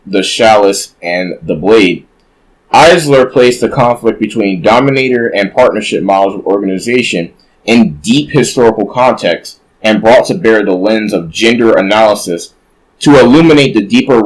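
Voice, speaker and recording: Man reading aloud, lowish voice, measured, fixes his own slips. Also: low-quality recording. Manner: measured reading with occasional self-corrections. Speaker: streamer reading a book aloud.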